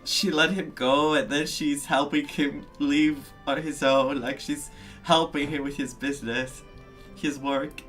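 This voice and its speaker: A game streamer reacts live in a casual, expressive and amused way, talking to viewers. The voice is medium in pitch and unhurried, with long, slow phrasing. Faint game music runs behind it.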